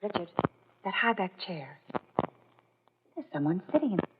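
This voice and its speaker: An actress playing a nervous, frightened wife lost on a journey, anxious and hesitant, in an old-time radio drama. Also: female voice, fairly low pitch, expressive, normal speed, background noise, lightly acted. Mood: uneasy. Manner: anxious, hesitant, repeats her first words. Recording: muffled lo-fi old radio recording.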